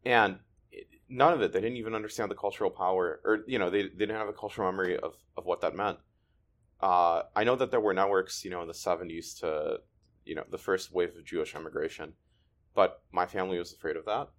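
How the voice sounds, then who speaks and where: A man born in Ukraine whose first language is Russian, a guest telling his family history in a thoughtful, halting way. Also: sits low, fairly even intonation, fairly bright tone. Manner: thoughtful, restarts often, halting. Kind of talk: conversational interview.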